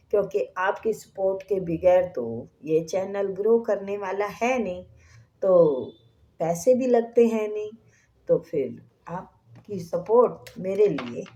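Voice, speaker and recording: A woman with a sore throat giving a tarot reading to her viewers, speaking softly and slipping into whispered prayers. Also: medium pitch, highs cut off. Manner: slow, soft, drops to whispers in prayer. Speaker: tarot reader.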